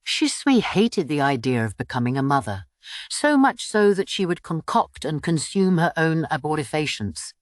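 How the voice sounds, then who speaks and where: A woman narrates fiction for an audiobook. Her voice is low, yet it moves with very animated intonation. The recording is dry and close, suited to expressive scripted storytelling. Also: dry recording.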